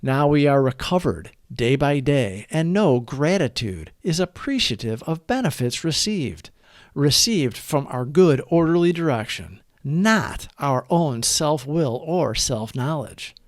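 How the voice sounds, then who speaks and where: A man giving an earnest, deliberate read-aloud of a scripted recovery meditation as its narrator. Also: on the high side, animated, no room sound, clean studio sound.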